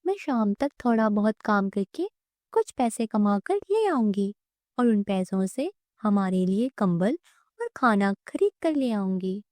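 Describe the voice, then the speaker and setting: A woman voicing a kind, self-sacrificing young orphan girl, an elder sister in a cartoon moral story, gentle, earnest and polite. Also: mid-range voice, sing-song, measured pace, no room sound, studio quality. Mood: caring.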